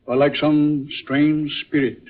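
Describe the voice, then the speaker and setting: A man's voice: fairly high pitch, level tone, takes it slowly. A man playing an irritable, superstitious middle-aged movie director in 1940s radio drama style, blustering and ominous. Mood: ominous dread. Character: irritable, superstitious movie director father, fearful of sea spirits. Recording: muffled, phone-like old radio recording.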